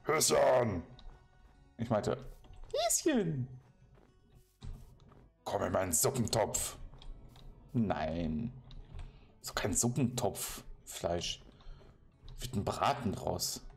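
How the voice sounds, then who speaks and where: A man's voice: on the low side, big pitch swings, drawn-out words, gravelly, pauses often, faint music behind. A German man giving casual, playful commentary while playing a game, chatting to the animals and villagers he meets. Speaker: let's play commentator.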